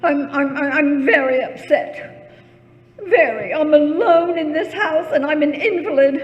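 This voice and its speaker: A woman's voice: sits high, unhurried, lo-fi sound. A woman playing a frightened, imperious, self-pitying invalid in a radio thriller, stammering and fretful in melodramatic 1940s style. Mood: demanding, agitated. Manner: stammering, breathless, fretful and demanding. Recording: echoey hall with long reverb.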